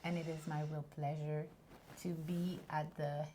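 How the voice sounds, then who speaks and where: A woman's voice: sits low, flat delivery, unhurried, warm tone, a little hiss or hum. A woman from Haiti in her thirties, a guest attorney talking through her story with frequent fillers and restarts. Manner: thoughtful, many fillers and restarts. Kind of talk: interview conversation.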